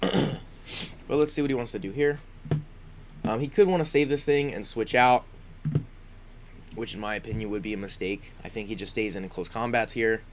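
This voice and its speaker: A man's voice: on the low side, moderate pace, pauses often, a little hiss or hum. A congested man giving casual commentary on his own game, thinking aloud with frequent ums and restarts. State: congested, sniffling. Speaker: gaming YouTuber.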